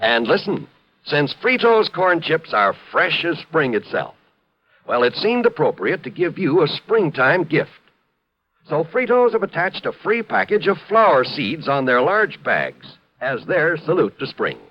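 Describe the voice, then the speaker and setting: Man, 1950s radio announcer, punchy emphatic reads; lively pitch, muffled old broadcast. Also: unhurried. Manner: upbeat, emphatic announcer delivery.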